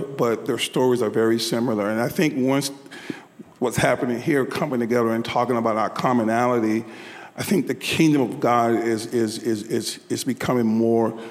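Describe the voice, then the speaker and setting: A man from the rural South speaking as a panel guest, loud and earnest, often repeating words. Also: mid-range voice, steady tone, normal speed, in a large hall. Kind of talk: panel discussion.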